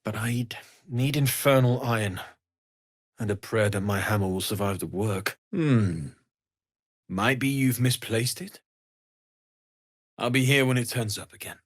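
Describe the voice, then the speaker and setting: A man's voice: mid-range voice, unhurried, pauses often, no echo, studio quality, lightly acted. An actor playing an earnest, kindly young male blacksmith refugee in a fantasy video game, speaking sincerely and politely with plenty of expression. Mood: helpful, thoughtful. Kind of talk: scripted fantasy game dialogue.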